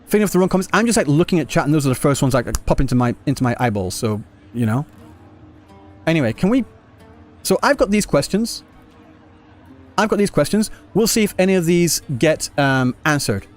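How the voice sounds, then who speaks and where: A man's voice: on the high side, sing-song, a little fast. A man livestreaming a game, talking casually to viewers in a rambling, stop-start way, on a close mic. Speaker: game streamer. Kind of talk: casual livestream chat answering viewer comments. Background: game music.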